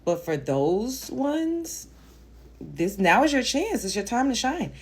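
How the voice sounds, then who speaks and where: A woman's voice: fairly high pitch, very animated, slow pace, darkish tone, breathy edge. A woman with a Brooklyn accent hosting a reaction video, talking bluntly and rambling with fillers. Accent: Brooklyn, New York. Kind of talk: casual commentary monologue.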